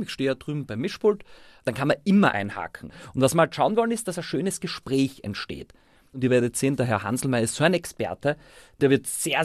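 A man's voice: mid-range voice, no room sound. Casual, encouraging Austrian man, dry close sound. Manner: informal, encouraging. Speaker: primary school teacher and musician. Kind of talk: casual explaining and coaching.

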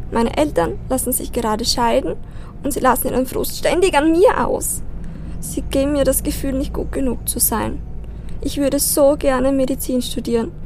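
A woman plays a troubled teenage girl in an amateur radio play, guarded and vulnerable. The voice is on the high side, with faint noise in the recording. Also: a little mellow. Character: desperate, guarded teenage girl under eighteen, dreaming of studying. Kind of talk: amateur audio drama acting.

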